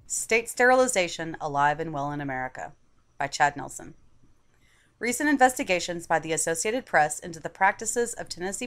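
A woman narrates a written opinion piece in a fairly low voice. Her delivery is measured and articulate, clearly read from a prepared script. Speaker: article reader.

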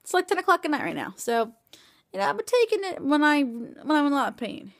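A woman of twenty talking into a phone camera at home at night, tired and in pain after surgery, rambling with many halting restarts. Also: sits high, sing-song, vocal fry, no echo, studio quality. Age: 20s. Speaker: vlogger. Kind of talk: casual video diary. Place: small room indoors at night. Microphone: phone camera held close.

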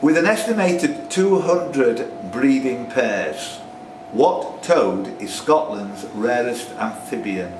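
A man's voice: medium pitch, expressive, slow pace, few pauses, noisy background. An older man, reading out pub quiz questions as quizmaster and bantering with the players. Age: older, around 60s. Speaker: quiz master. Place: pub, indoors. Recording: echoey pub, distant mic, music behind.

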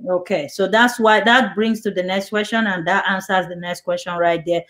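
A woman's voice: mid-range voice, fairly even intonation, moderate pace. A woman hosting an online church interview over a video call, reading out viewer questions and affirming answers. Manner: reads out viewer questions, warm laughs, affirming. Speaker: host.

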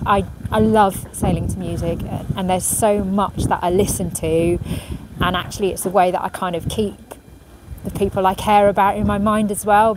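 Woman, middle-aged, quiet thoughtful sailor, outdoor marina, camera at a distance, audible traffic and harbour noise. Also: medium pitch. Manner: quiet, thoughtful, reads out questions then answers with many ums. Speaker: professional ocean racing sailor answering viewer questions. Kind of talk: casual question and answer. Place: outdoors on a marina pontoon beside a racing yacht. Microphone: camera at medium distance, phone in hand. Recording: outdoor recording with clear background noise. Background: marina ambience and passing vehicles.

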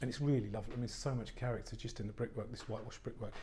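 Estate agent guy walking round a warehouse flat, lots of ums, mid voice, phone recording. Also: medium pitch, racing, a little hiss or hum. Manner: chatty, many fillers and restarts. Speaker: estate agent giving a property tour. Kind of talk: unscripted walk-through tour. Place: large warehouse flat, indoors. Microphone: handheld phone camera, speaker off screen.

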